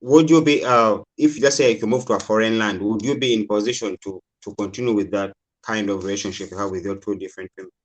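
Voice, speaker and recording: A man's voice: lowish voice, fast talker, hardly any pauses, poor audio. A man from Uganda calling in to a discussion, talking casually and hedging often with repeated fillers. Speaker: caller.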